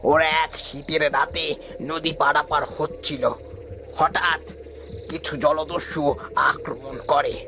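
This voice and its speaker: An actor playing the gentle, protective ghost of an old boatman in a cartoon, speaking respectfully to the young master. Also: a little high, noisy background, close to an everyday voice. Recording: music behind the voice.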